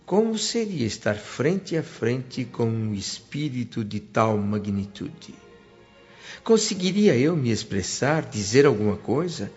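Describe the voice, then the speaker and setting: A male actor plays an earnest, wondering newcomer to the spirit world, with a Brazilian accent. He narrates in a reflective, literary radio-drama style, expressive and heartfelt. The sound is slightly muffled, with music behind him. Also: mid-range voice, slow pace. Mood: anxious, reflective. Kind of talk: radio drama acting and first-person narration.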